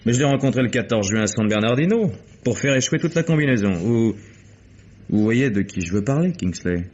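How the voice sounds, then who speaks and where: An actor playing a world-weary, sardonic male private detective in a 1950s French radio mystery, speaking deliberately with dramatic pauses. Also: mid-range voice, expressive, darkish tone, close to an everyday voice. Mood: sardonic, explanatory. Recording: muffled vintage radio drama recording.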